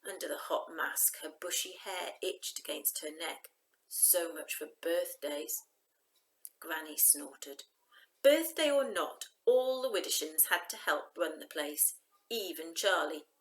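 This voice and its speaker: A woman reading a children's novel aloud in an expressive, emphatic storytelling style. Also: low-pitched, slow pace, poor audio.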